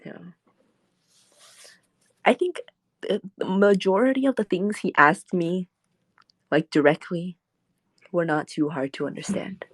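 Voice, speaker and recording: Woman streamer, low register, relaxed rambling chat with frequent laughs, dry booth sound. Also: a little slow, pauses often, no room sound. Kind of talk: casual late-night stream chat.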